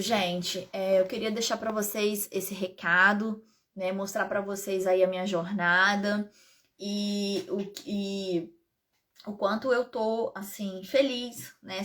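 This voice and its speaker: A Brazilian woman, an integrative therapist and pharmacist, chatting casually to live-stream viewers with a slightly hoarse voice and frequent asides. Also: mid-range voice, fairly bright tone.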